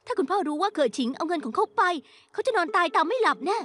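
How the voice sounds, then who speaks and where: Very high-pitched, pleading young woman. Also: sing-song, quick pace, a bit bright, exaggerated voice. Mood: tearful pleading. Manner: pleading, emotional, insistent. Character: distraught, insistent young woman fighting for her father's inheritance. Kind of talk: dubbed TV melodrama acting.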